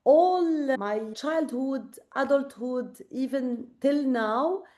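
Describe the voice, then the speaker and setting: A woman's voice: high voice, slow pace, a little mellow. A tired woman from Lebanon with a Lebanese accent, a guest on a late-night video call, speaking earnestly and reflectively with many fillers. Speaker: guest, creative director.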